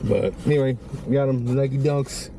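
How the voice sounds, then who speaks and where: Male vlogger, raspy strained voice, rambling casual talk, noisy car recording. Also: medium pitch, normal speed. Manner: rambling, conversational, many restarts. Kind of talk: casual vlog chat.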